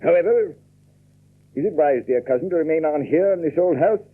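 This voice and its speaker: An actor playing a pompous, oily, hypocritical gentleman in a radio drama, theatrical, with menace underneath. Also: on the high side, animated, brisk. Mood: unctuous. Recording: dull, lo-fi old radio-drama sound.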